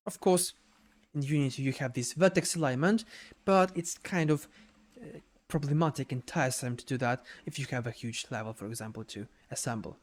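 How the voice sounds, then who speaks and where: A man giving an unscripted software tutorial in a halting way, repeating words and adding little checking tags as he explains each step. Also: medium pitch. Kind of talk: unscripted screen-share tutorial narration.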